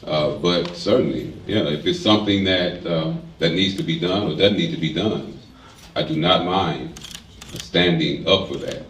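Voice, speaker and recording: A man answering as a candidate at a forum, calm and diplomatic with some hesitation. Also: low-pitched, normal speed, slight background noise. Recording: echoey hall from far off.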